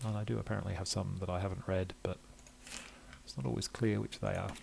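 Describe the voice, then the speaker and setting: Gravelly older man reading aloud. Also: low-pitched, steady tone, fast talker, a little mellow, a little hiss or hum. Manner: hesitant reading with asides and self-corrections. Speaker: let's player reading game text aloud and commenting. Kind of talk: gameplay commentary, reading story text aloud.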